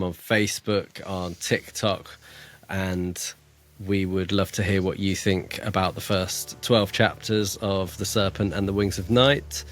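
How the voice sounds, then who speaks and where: A man co-hosting a podcast, casually discussing a book and thinking aloud in a tentative, hedging way. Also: lowish voice. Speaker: podcast co-host.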